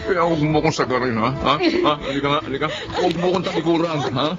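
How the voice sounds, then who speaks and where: An actor playing an abusive drunken husband in a radio drama, barking threats and taunting questions. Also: male voice, on the high side, very animated, quick pace. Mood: angry, menacing. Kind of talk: Tagalog radio drama acting. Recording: muffled audio with music behind.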